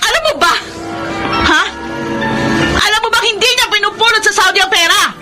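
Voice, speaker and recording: High-pitched scolding elder sister. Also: female voice, a little fast, over loud music. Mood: hurt, furious. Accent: Filipino. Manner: emotional, confrontational. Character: stern, scolding elder sister, dutiful young woman. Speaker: radio drama actress. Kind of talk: Filipino radio drama acting.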